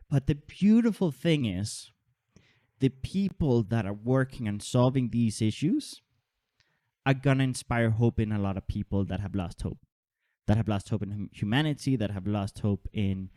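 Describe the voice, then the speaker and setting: A man born in Holland with Danish citizenship, hosting a podcast in casual, animated chat and often repeating words as he thinks. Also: fairly low pitch, no room sound, studio quality. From Denmark and Holland. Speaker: podcast host.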